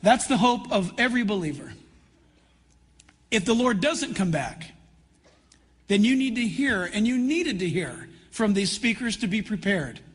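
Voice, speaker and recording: Male, American accent, high husky voice, earnest pastor, conversational sermon delivery, deliberate pauses. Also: expressive. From California, United States. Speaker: pastor and conference host. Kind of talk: conference address in sermon style. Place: large church hall. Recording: reverberant large hall, live PA sound.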